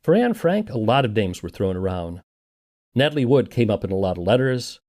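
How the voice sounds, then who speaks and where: A man's voice: fairly low pitch, sing-song, fairly quick, darkish tone. A man narrating a scripted documentary podcast as its host and interviewer.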